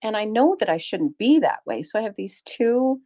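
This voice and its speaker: A woman's voice: mid-range voice, sing-song, normal speed, warm tone. A middle-aged Canadian woman who runs a small shop, telling a personal story in an earnest, reflective way with frequent laughs. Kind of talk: casual chat on a live audio space.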